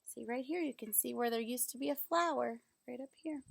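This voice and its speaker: A woman reading a children's book aloud like a teacher, pausing to explain things simply and ask questions. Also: on the high side, creaky.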